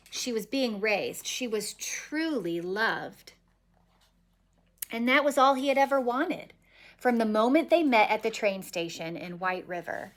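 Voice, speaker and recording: Bright-voiced woman reading a children's story. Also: mid-range voice. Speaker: story reader. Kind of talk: expressive read-aloud of a children's picture book.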